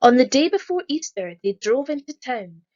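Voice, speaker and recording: A woman reading a rhyming children's picture book aloud in a lively, sing-song storytelling manner. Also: high-pitched, normal speed, lo-fi sound. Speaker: storybook reader.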